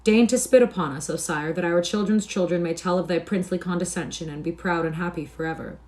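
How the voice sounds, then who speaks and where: A woman's voice: on the high side, animated, normal speed, warm tone, pitched above the performer's own voice, natural voice. A woman voicing a mocking male tinker in a storybook, exclaiming and pleading theatrically in archaic speech. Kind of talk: storybook character reading.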